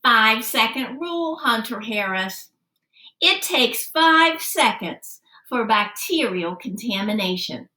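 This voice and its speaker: A cocky storybook schoolgirl, played by a woman reader, insistent and sure of herself. Her voice is high and bright, swinging emphatically as she argues. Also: animated, slow pace, exaggerated voice. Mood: smug. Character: stubborn, cocky schoolgirl who trusts the internet. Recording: roomy, echoey sound.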